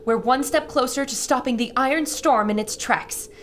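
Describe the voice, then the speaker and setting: An actress playing an earnest young radio reporter, describing events to listeners in an audio adventure drama. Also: female voice, high voice, normal speed, a little hiss or hum, lightly acted. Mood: hopeful, solemn.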